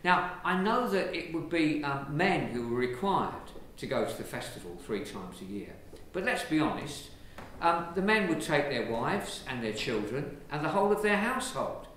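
An Englishman, a priest, giving a lively, conversational sermon from the pulpit of a large church, filmed from a distance. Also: fairly high pitch, moderate pace, few pauses. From England. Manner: lively, conversational preaching with gestures. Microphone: far camera. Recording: very echoey church from far off, faint hiss.

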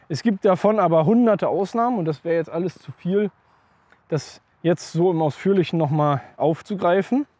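A man's voice: a little high, darkish tone, dry recording. A young man talking casually to a handheld camera while walking a rural path, explaining terms with many fillers and restarts. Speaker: outdoor vlogger. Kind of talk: casual explanatory walk-and-talk. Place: outdoors on a rural path between bushes. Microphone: handheld camera at arm's length.

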